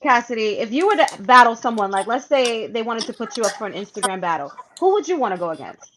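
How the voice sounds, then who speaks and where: A woman's voice: on the high side, very animated, quick pace, fairly bright tone, a little hiss or hum, low-quality recording. A woman co-hosting a radio interview over a remote call, chatting casually in an engaged, affirming way. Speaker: radio show co-host interviewer.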